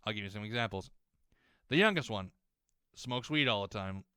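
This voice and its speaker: A young man ranting while playing a game, rambling, swearing a lot and often restarting his sentences, his voice slightly hoarse as it warms up. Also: on the low side, expressive, normal speed, no room sound, studio quality. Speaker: gaming YouTuber.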